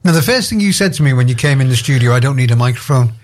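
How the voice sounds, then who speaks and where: A man's voice: mid-range voice, expressive, rapid-fire. A man hosting a radio show in a studio, chatty and affirming with his guest. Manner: chatty, affirming, laughs often. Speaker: radio host. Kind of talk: casual radio interview chat.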